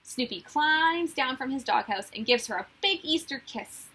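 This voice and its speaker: A woman reading a children's storybook aloud as a teacher would, with expressive narration. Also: high-pitched, normal speed, slightly rough.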